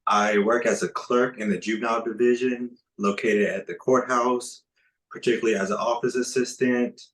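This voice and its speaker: A man in his twenties from Pensacola, a court clerk on a panel, introducing himself slowly and plainly. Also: medium pitch, fairly even intonation, fairly bright tone. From Pensacola, Florida. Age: 20s. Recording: roomy echo.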